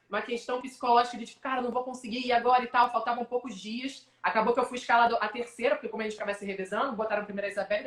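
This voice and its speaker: A young adult Brazilian woman, a sports announcer, telling animated stories in a casual live-stream interview. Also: fairly high pitch, roomy sound. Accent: Brazilian Portuguese. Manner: animated storyteller, quick asides. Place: home, online video call.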